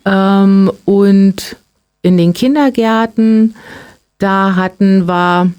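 A woman's voice: medium pitch, drawn-out words, darkish tone, clear, resonant tone. A woman in her fifties, a police road safety teacher, answering interview questions. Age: 50s.

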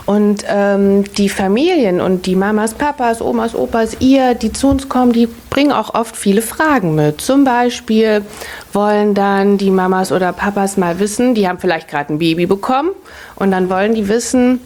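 A woman's voice: mid-range voice, moderate pace, a little mellow. A woman in her thirties, a city family-office worker, patiently answering children's questions in simple words, often repeating the question back first. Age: 30s.

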